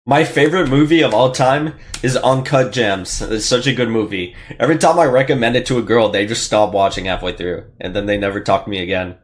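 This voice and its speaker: A man's voice: mid-range voice, fairly quick, few pauses. A young adult man chatting casually as a host answering questions, in an informal, slangy and somewhat hesitant way. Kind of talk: casual chat.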